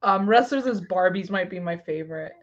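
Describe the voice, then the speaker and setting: A woman's voice: on the low side, a little fast. A woman from Texas co-hosting a podcast, chatting casually and in a rambling way as a fan, on dull lo-fi audio. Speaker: podcast co-host.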